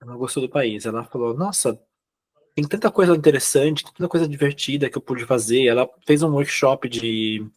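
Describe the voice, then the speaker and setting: A young Brazilian man speaking Brazilian Portuguese, recounting his experiences in a relaxed, chatty way with many fillers and quoted dialogue. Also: mid-range voice, normal speed. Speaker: guest. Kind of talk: casual interview chat.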